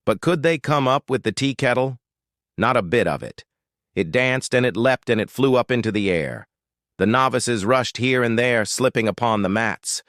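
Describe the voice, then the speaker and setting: A man narrating a folktale as a storyteller, expressive and dramatic, with deliberate emphasis. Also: medium pitch, dry recording, clean studio sound.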